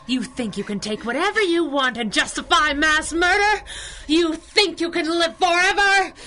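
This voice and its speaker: An actress playing a feisty young working-class crime-fighting sidekick in a 1930s radio adventure, blunt and sassy. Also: female voice, very high-pitched, moderate pace, pushed and bright, a little hiss or hum. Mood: furious.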